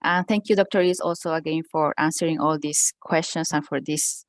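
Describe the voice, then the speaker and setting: Woman hosting a call, low voice, dry close sound, formal thank-yous. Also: steady tone, moderate pace, no echo. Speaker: moderator. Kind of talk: closing a session.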